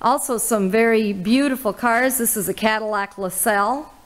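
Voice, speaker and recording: A woman, hosting a casual auction walkthrough in an old barn full of cars, listing items with little "uh" pauses. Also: a little high. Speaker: auctioneer host giving a car walkthrough. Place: inside old barns and sheds full of cars.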